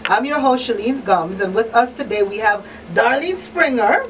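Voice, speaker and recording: High-voiced woman hosting a call-in show. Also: lively intonation, moderate pace. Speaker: talk show host. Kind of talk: live call-in radio chat. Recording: slightly distant mic, faint noise.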